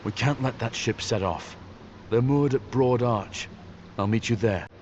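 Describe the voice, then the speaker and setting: An actor playing a wry, defiant northern hero in a fantasy game, guarded and dryly challenging. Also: male voice, mid-range voice, a little slow, a little hiss or hum, natural voice. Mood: urgent. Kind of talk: dramatic game cutscene acting. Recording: slightly dull sound under loud music.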